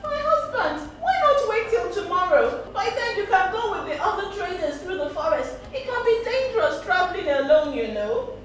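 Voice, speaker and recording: A woman's voice: extremely high pitch, very animated, normal speed, hardly any pauses, recorded from a distance, pitched above the performer's own voice. A woman voicing a worried wife who coaxes and pleads in a children's story. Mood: worried coaxing. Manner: worried, coaxing. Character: anxious wife pleading with her trader husband. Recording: echoey room, music behind.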